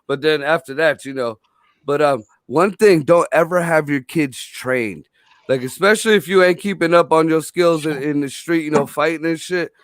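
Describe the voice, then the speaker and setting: A man's voice: on the high side, normal speed, no room sound. A man telling stories in casual chat, blunt and full of profanity.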